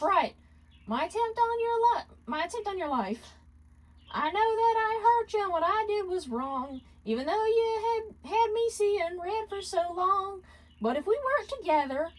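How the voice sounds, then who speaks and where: A woman's voice: extremely high pitch, pitched above the performer's own voice, low-quality recording. A woman voicing a brash, manipulative ex-wife in a dramatic fan fiction reading. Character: brash, manipulative scheming ex-wife, defensive and pleading.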